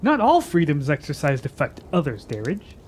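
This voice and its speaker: An actor playing a defiant, hot-headed lad in a fantasy radio drama, husky and challenging in delivery. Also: medium pitch, wildly swooping pitch, moderate pace, darkish tone, slight background noise. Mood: arguing. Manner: challenging, impetuous, declamatory.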